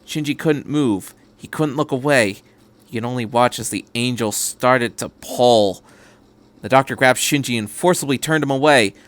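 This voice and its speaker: A man's voice: mid-range voice, moderate pace. A man reading a story aloud as a podcast host, in scripted narration. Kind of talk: scripted narration of fan fiction.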